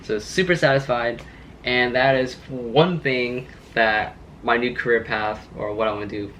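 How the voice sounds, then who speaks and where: A man's voice: medium pitch, fairly even intonation, measured pace, fairly bright tone, a little hiss or hum. A man in his late twenties chatting casually to his vlog audience, rambling and laid-back with plenty of fillers. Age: 20s. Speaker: car vlogger.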